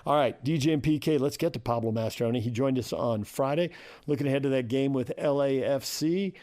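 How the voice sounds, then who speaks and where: A man's voice: mid-range voice, a little fast, dark tone, dry recording. Male sports radio host, mid-range voice, off-the-cuff commentary, very dry close sound.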